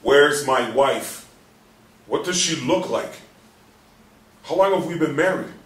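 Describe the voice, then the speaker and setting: An actor playing an angry, frustrated hospital patient in a stage play reading, anguished, demanding and given to swearing. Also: male voice, a little high, expressive, slow pace. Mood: frustrated, pleading. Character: angry, frustrated male hospital patient trapped after a coma. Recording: roomy distant recording with faint noise.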